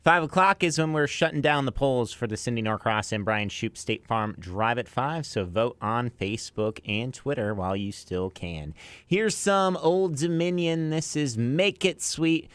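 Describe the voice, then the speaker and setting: A man's voice: mid-range voice, animated, measured pace, fairly bright tone, clean tone, no echo. An American man presenting an afternoon music radio show as its DJ, linking songs and reading sponsor messages. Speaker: radio DJ, afternoon drive host. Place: radio studio. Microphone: close broadcast microphone.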